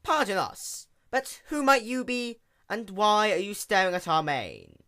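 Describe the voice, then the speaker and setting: A woman acts out a regal pony princess from a cartoon-style story. She speaks in grand, formal phrases with a bright, forceful edge, swooping widely in pitch. She is haughty and quick to outrage. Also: very animated. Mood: haughty, amused. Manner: grand, formal royal diction.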